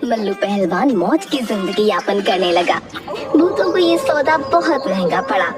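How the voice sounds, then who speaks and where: Animated woman narrating a story. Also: medium pitch, moderate pace, far from the mic, over loud music. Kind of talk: scripted children's story narration.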